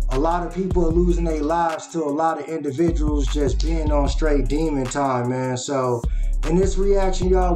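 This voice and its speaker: A man's voice: on the high side, dark tone. A man hosting a reaction video from a small home room at a webcam, talking earnestly and casually, with a lot of "man" and "y'all". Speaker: reaction channel host. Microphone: webcam at desk.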